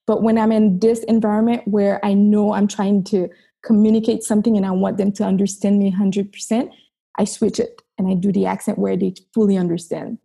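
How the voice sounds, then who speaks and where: A woman's voice: mid-range voice, flat delivery, fairly quick, creaky, mellow, slightly rough. A woman from Haiti whose first language is Haitian Creole, telling her story as a chiropractor guest in an earnest, reflective interview. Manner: earnest, reflective, with frequent restarts.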